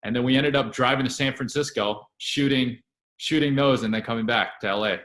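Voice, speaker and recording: A man in his late twenties, a guest actor, telling his story in a relaxed, steady way with a few fillers. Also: medium pitch, fairly quick, reverberant. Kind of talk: casual interview chat.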